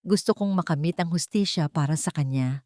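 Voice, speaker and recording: A woman with a Filipino accent voicing a wronged, coldly determined businesswoman in a dramatic story, measured and resolute. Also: low voice, warm tone, no echo, clean studio sound, close to an everyday voice. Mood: commanding.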